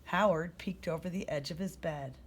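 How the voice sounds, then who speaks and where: A woman reading a children's story aloud as a storyteller, in expressive narration. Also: lowish voice, moderate pace.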